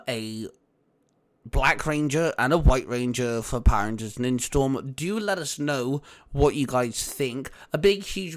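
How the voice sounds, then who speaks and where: A man co-hosting a fan channel, chatting casually and geekily as he pitches creative ideas. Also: a bit bright.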